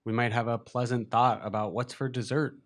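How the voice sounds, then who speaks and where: A man leading a guided meditation as a teacher, speaking gently and unhurriedly with long pauses. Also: mid-range voice, flat delivery.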